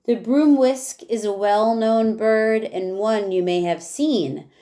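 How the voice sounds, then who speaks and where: A woman teacher reading a rhyming picture book aloud in a measured way, with casual asides. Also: fairly high pitch, warm tone.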